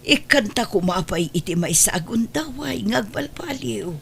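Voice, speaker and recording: A woman's voice: a little high, animated, unhurried, gravelly, loud music behind the voice. A woman playing a worried, pleading mother in a radio drama, speaking earnestly and drawing out her words with emotion. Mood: gentle, coaxing. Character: worried, pleading mother of a wayward grown son. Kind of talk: Ilocano radio drama acting.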